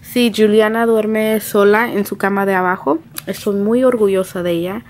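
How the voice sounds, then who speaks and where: A woman's voice: mid-range voice, fairly even intonation, moderate pace, darkish tone. A woman of about thirty chatting casually as a family vlogger and mother, informal and switching between languages. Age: around 30. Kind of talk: casual vlog chat, talking to camera and children.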